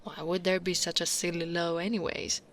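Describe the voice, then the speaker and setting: An Italian woman playing a tall, devoted female orc royal guard in an ASMR roleplay, speaking softly and intimately as if to the one listener she loves. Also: fairly low pitch, level tone, close to an everyday voice. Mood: tender, teasing. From Italy. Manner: slow, intimate, often dropping to a whisper.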